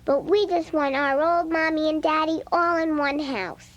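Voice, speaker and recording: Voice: sits high, moderate pace, a bit bright, highs cut off. A performer playing a chatty, candid five-year-old girl in an audio drama, childlike and eager. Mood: curious, candid.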